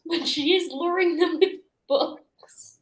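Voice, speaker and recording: A woman's voice: very high-pitched, slow pace. A woman co-hosting a casual book chat on a livestream. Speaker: book club live show co-host.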